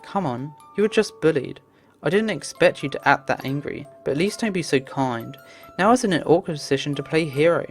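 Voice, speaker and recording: Woman playing a hot-headed kid, brusque, bossy, low voice, visual novel story, music behind. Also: expressive, fairly quick. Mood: scolding. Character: hot-headed, scolding young kid protagonist.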